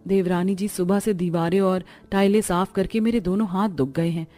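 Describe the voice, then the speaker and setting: A woman's voice: fairly low pitch, steady tone, normal speed, warm tone, natural voice. A tired, humble young housewife played by a woman in a cartoon drama. Her speech is plaintive and submissive, over a faint music bed. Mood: meek. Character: weary, meek, poor elder daughter-in-law and mother. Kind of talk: cartoon family drama voice acting.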